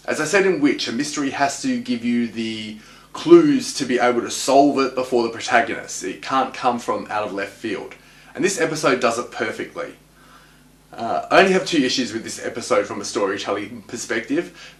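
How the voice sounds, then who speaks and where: A man delivering recap and analysis commentary as a host, with wry, clipped deadpan quips. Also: mid-range voice. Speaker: host of a TV episode analysis show. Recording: roomy, echoing voice recording.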